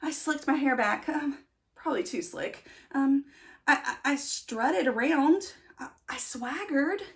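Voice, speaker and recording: Woman playing a shy uncool bean, high-pitched, stammering, self-deprecating, awkward, children's storybook character. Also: lively intonation, measured pace. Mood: sheepish.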